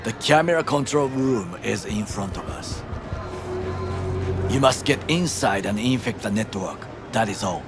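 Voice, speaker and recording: An actor playing a stern, disciplined older bodyguard in a video game, speaking formally and precisely with a Japanese accent. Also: male voice, fairly low pitch, lively intonation, a little slow, over loud music. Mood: focused, commanding. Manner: formal, clipped, uncontracted phrasing. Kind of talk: video game cutscene acting.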